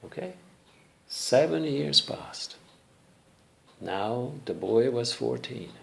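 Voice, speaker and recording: A male English teacher slowly telling a folktale in simple English for learners, pausing to check in with listeners. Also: medium pitch, lively intonation, vocal fry. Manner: slow, deliberate, checks in with listeners.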